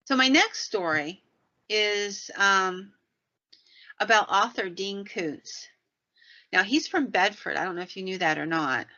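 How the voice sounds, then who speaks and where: A woman's voice: medium pitch, expressive, measured pace, sharp tone. A woman podcast host telling paranormal stories in a conversational, folksy way with rambling asides. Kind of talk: conversational storytelling about the paranormal. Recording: dull, lo-fi sound.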